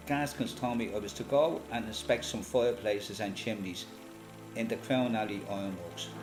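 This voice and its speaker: An elderly Irish former freedom fighter, played by a man, tells his memories in a radio play. He is plain-spoken and quietly proud, talking like a storyteller at ease. Music plays clearly behind him. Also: mid-range voice, natural voice. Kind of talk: radio play monologue, reminiscing as recorded testimony.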